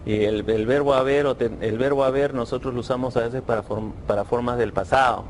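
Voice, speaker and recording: A man's voice: mid-range voice, fairly even intonation, a little fast. A man in an interview explaining grammar, correcting himself as he goes. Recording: faint background noise.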